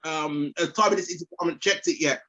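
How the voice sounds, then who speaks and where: High bright-voiced male show host. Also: racing. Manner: enthusiastic, gives shout-outs, loose and chatty. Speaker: hip hop show host. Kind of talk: casual interview chat. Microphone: video call webcam. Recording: dull lo-fi video call audio.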